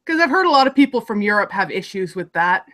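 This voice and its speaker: A woman who grew up between Mexico and the United States, chatting casually and trailing off softly. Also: medium pitch, sing-song, quick pace. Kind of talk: casual chat.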